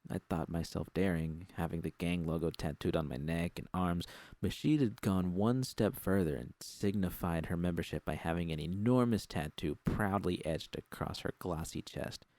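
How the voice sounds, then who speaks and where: A man's voice: deep voice, moderate pace, darkish tone, no echo. A man reading a first-person story as an audiobook narrator, in a scripted literary style.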